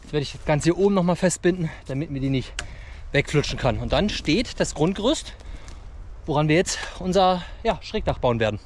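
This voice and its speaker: Male outdoor vlogger, 30s, fairly high voice, casual matey how-to delivery to camera, forest recording with clear background noise. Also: lively intonation, brisk. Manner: colloquial, chummy address to viewers. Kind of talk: casual bushcraft how-to vlog, talking to camera.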